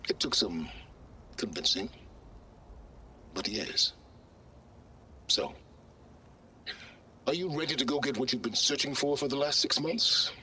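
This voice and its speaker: A man playing an arrogant, self-important scholar in a video game, with pompous, condescending and deliberate phrasing. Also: medium pitch, expressive, sharp tone, slight background noise. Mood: self-satisfied. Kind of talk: video game cutscene acting.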